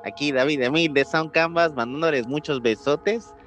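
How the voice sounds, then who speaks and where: Male co-host from Puerto Rico, slightly high voice, casual delivery, soft music underneath. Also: normal speed. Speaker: podcast co-host. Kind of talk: casual chat. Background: faint music.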